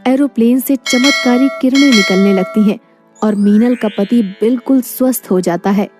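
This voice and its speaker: A woman's voice: a little high, normal speed, over music. A woman narrating a scripted moral story.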